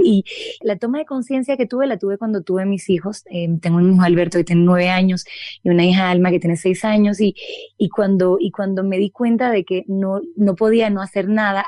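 A woman answers interview questions, pausing and restarting as she gathers her thoughts. Her voice is mellow and dark, and it is recorded dry and close with no room around it. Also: on the low side, no room sound. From Dominican Republic. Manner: thoughtful, many restarts and fillers. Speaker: interview guest, politician.

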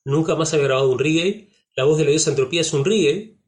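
A man from Uruguay with a Rioplatense Spanish accent, a musician talking about his album in a relaxed interview, reflective, with frequent fillers and tag questions. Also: a little high, quick pace.

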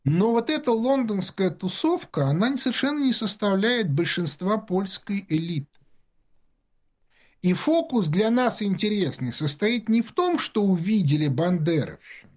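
A man telling rambling anecdotes in casual talk-show conversation, full of fillers and restarts. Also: high-pitched. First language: Russian.

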